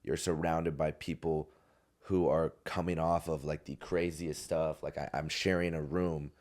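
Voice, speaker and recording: A young man in his twenties with a very deep, low voice talks on a podcast. He tells a personal story in a rambling, casual way, circling back and restarting his sentences. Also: flat delivery, unhurried, a little mellow. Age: 20s. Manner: rambling, many restarts and fillers. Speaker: podcast guest. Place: podcast studio.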